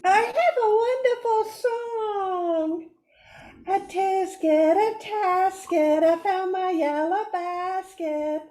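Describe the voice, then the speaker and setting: A woman's voice: very high voice, steady tone, slow pace, warm tone, roomy sound. Woman doing a drawling, spacey surfer reindeer. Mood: dreamy, mellow. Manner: drawling, dreamy surfer-dude delivery. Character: laid-back, spacey hippie surfer reindeer. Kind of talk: amateur Christmas radio play.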